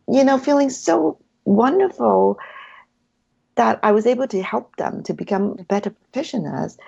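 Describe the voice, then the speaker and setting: Gravelly woman, native Cantonese speaker. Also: on the high side, dull audio. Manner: effusive, warm-hearted, frequent ums. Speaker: guest, hypnotherapy practitioner. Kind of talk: casual interview chat.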